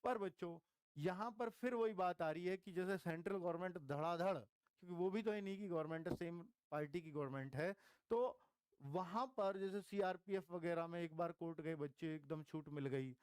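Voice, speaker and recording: A man's voice: high-pitched, normal speed, darkish tone, smooth, no room sound. A man, an exam coach explaining things informally to students and repeating key points for emphasis. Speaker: exam coach teaching online.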